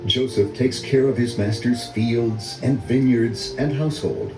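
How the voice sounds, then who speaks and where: An older man reading a children's story in a measured, dramatic storytelling manner. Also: medium pitch, fairly even intonation. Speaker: read-along story narrator. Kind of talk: scripted children's story narration. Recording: roomy echo, music under voice. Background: orchestral music.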